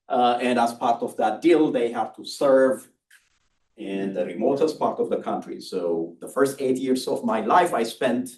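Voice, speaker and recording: Distant, animated Ethiopian-accented male lecturer. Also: mid-range voice. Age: middle-aged or older. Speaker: university engineering lecturer. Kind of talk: public lecture with personal storytelling. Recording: roomy, distant microphone.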